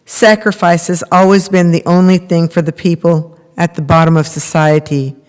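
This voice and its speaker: A woman's voice: fairly low pitch, fairly even intonation, moderate pace, a little mellow, natural voice. A middle-aged woman playing a prisoner's earnest mother, reading a monologue from a script in readers theater. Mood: earnest, sorrowful. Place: large temple hall, at a lectern. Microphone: microphone on a stand at lectern. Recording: reverberant hall recording.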